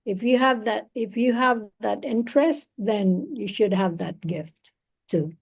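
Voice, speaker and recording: A woman tutoring English over a video call, talking slowly and encouragingly with many fillers. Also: fairly high pitch, creaky, slightly rough. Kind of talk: encouraging online speaking practice.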